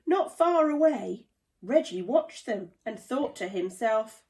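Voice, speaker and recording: A woman reading a children's storybook aloud in a deliberate, dramatic storytelling manner. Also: a little high, expressive, darkish tone. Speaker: play therapist reading a children's book. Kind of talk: expressive children's storybook narration.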